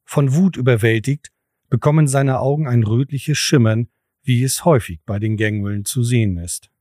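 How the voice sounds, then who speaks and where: Mellow male audio drama narrator. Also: medium pitch, normal speed, no echo, studio quality.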